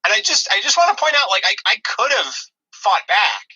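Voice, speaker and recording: Male comic podcaster: piercingly bright tone, aggrieved, stumbling, off-the-cuff banter delivery. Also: high-pitched, huge pitch swings, breathy edge, low-quality recording. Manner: defensive, halting, aggrieved. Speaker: comedy podcast co-host.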